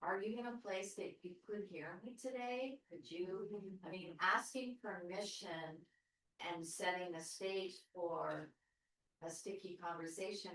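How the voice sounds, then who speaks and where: A woman with a lower voice shares her thoughts slowly in a group discussion, pausing and restarting as she works things out. She is heard from across a very echoey room. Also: a bit bright, far from the mic. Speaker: group participant.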